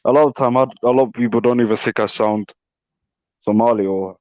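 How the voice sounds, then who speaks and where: A man's voice: mid-range voice, fairly even intonation, brisk, muffled recording. A man in his late twenties chatting casually, laid-back and joking with lots of filler words. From England. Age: 20s. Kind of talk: casual chat.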